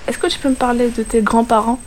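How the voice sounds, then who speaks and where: A woman interviewer asks short, gentle questions in a slightly high voice. Faint background noise is heard around her. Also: little pitch movement, fast talker.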